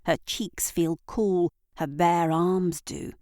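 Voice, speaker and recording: A woman reading scripted literary narration for an audio drama in a deliberate, dramatic storytelling manner. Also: on the low side, fairly even intonation, darkish tone, dry recording, clean studio sound.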